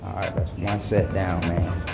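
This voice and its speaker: A man's voice: low-pitched, flat delivery, unhurried, vocal fry, loud music behind the voice. A man in his thirties vlogging casually from a resort, talking into a phone camera at arm's length, laid-back and hyping his workout. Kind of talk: casual vlog chat. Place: resort gym, then walking outdoors and a buffet. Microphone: phone camera held at arm's length.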